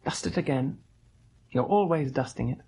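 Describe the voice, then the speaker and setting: Husky, weary, self-doubting young husband. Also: male voice, medium pitch, darkish tone, rough recording. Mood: uneasy. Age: 30s. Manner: halting, plaintive, self-doubting. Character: weak, weary, gentle thirty-year-old husband and father. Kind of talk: old radio drama acting.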